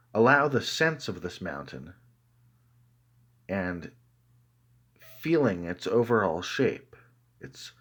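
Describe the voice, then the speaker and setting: A man narrating a guided meditation in a calm, measured way, with deliberate pauses between phrases. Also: low voice, husky.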